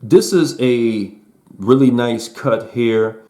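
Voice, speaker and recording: A man's voice: medium pitch, takes it slowly. A man giving a casual spoken music review in a laid-back, slangy way, peppering his talk with "man" and "um". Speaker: album reviewer.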